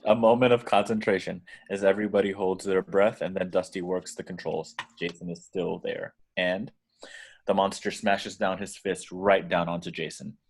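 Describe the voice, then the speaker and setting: A man's voice: low-pitched, level tone, moderate pace, breathy edge. A man reading out the action descriptions as scripted narration in a table read.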